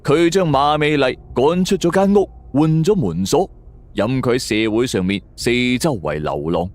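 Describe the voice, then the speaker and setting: A man's voice: mid-range voice, lively intonation, normal speed, a little mellow. A man narrating a suspense story in a colloquial, dramatic storyteller style. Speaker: audiobook narrator.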